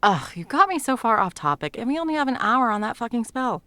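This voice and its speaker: A woman playing a sardonic, foul-mouthed sound mage in an intimate fantasy audio roleplay, talking sarcastically and emphatically with a creaky edge. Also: a little high, very animated, fast talker, bright, forceful tone, no room sound. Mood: exasperated, fond. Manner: sarcastic, emphatic, swearing freely. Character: sardonic, foul-mouthed female sound mage, guiltily helping an old friend.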